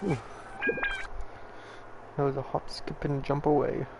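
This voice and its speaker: Clear background noise surrounds a man casually commenting on his game. His voice is slightly high for a man, with a creaky fry. The delivery stays very flat and offhand. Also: unhurried. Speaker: gamer, streamer.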